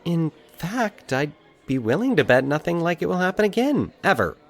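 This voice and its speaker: A man voicing a sympathetic young woman, a reassuring, gently teasing friend in a fiction podcast story. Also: a little high, big pitch swings, normal speed, faint music behind, pitched above the performer's own voice. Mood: playful, teasing. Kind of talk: fiction podcast dialogue within narration.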